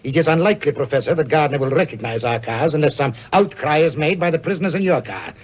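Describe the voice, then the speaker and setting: An actor playing a cold, commanding criminal gang leader in a radio thriller, clipped, authoritative and coolly threatening. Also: male voice, on the high side, lively intonation, quick pace. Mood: stern. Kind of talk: 1970s radio thriller acting.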